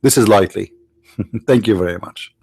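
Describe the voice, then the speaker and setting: A man whose first language is Arabic, from the Middle East, arguing in a sarcastic, mocking tone and slowing down to stress quoted phrases. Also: on the low side, creaky, a little mellow, slightly rough, no room sound. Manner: sarcastic, mocking rhetorical questions. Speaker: apologist speaker. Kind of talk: argumentative lecture.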